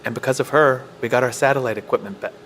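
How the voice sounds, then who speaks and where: An actor playing an earnest, protective, technically minded survivor in an audio drama. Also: male voice, mid-range voice, quick pace, fairly bright tone, close to an everyday voice. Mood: earnest, resolute. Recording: faint background noise.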